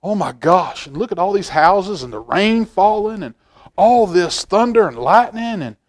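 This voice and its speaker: A middle-aged man with a somewhat high voice hosts a children's storytime. He reads expressively and talks to young listeners in a folksy way, often repeating a phrase to drive it home. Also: expressive, normal speed, slight background noise. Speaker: library storytime reader, stand-in host. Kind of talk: animated picture-book read-aloud with asides to children. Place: indoor library room, seated at a table.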